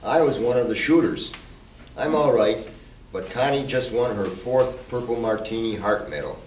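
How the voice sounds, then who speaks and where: A man's voice: medium pitch, lively intonation, normal speed, natural voice. A man playing a matter-of-fact, joking gunman in an amateur radio play. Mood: dry. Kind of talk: amateur comic radio play performed live. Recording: distant echoey room, faint noise.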